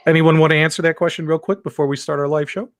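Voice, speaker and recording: A man's voice: on the high side, flat delivery, rapid-fire. A man hosting a casual livestream show, chatting and explaining things to co-hosts and viewers.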